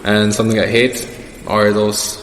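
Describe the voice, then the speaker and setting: A man's voice: on the low side, monotone, unhurried, fairly bright tone. A young man answering questions as the guest in a casual personal interview. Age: young adult. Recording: echoey reverberant room with background noise.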